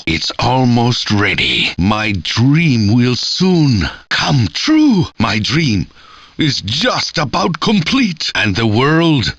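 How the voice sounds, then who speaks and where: An actor playing a grandiose, menacing villain mastermind in an arcade game, speaking in a theatrical, deliberate and haughty way. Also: male voice, on the low side, bright, forceful tone, no room sound, exaggerated voice. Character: grandiose, menacing male villain mastermind plotting world conquest.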